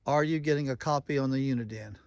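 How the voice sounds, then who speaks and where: A man's voice: mid-range voice, fast, no echo, lo-fi sound. A man giving casual, plain-spoken running commentary and making radio check calls in a folksy way. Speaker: vlogger testing walkie-talkies.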